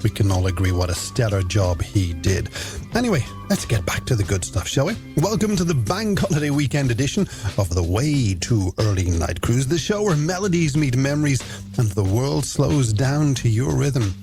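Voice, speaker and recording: A man's voice: medium pitch, big pitch swings, moderate pace. An Irish man presenting a late-night music radio show, chatting companionably to listeners in scripted links. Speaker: radio host. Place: radio studio. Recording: music bed behind the voice.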